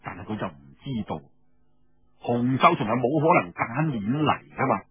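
A man with a Cantonese accent telling a story in an expressive, colloquial oral storytelling style, with rhetorical asides. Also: medium pitch, moderate pace, lo-fi sound. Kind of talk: dramatic traditional oral storytelling narration.

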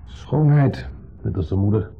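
An actor playing a wry, unsettling ex-husband in a 1970s radio play, speaking with pointed deliberation. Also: male voice, on the low side, a little slow, gravelly, slightly rough, heavily acted. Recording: dull muffled old radio recording.

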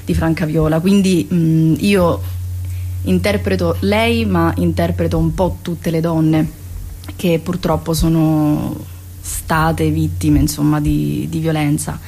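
A woman's voice: sits low, fairly even intonation, slow, dark tone, husky, poor audio. A woman speaks as an interview guest. She is hesitant and picks her words with care. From Sicily, Italy. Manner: hesitant, choosing words carefully. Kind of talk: conversational interview.

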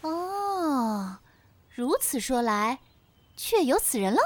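A woman's voice: high-pitched, sing-song, slow pace, full character voice. An actress playing a poised, perceptive young lady with a formal, knowing manner in an audio drama. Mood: teasing.

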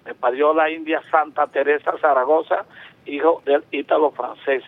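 A man's voice: fairly high pitch, steady tone, moderate pace. A man in his seventies from Venezuela, calling in to a radio show, talking at length with emphasis and many restarts. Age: 70s. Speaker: caller. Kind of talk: call-in radio talk. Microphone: telephone. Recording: tinny phone line.

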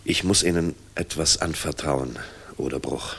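Low, husky-voiced weary German general. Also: male voice, a little slow, fairly bright tone, heavily acted. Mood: confiding, grave. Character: weary, hard-driven German air force general, gruff and sardonic. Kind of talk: 1960s German radio drama acting.

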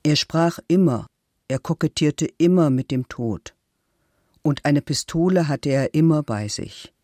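A composed, firm middle-aged woman in a radio documentary. She speaks formally and articulately, with measured phrasing, close to the microphone in a dry studio. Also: deep voice, dark tone, no room sound. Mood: reflective. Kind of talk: documentary reading of statements and letters.

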